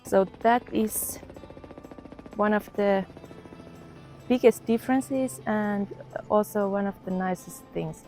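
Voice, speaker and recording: A woman, casually vlogging outdoors and often pausing to search for words. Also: medium pitch. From Finland. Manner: relaxed, thoughtful, pauses to search for words. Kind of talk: casual walk-and-talk vlog. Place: outdoors in the countryside.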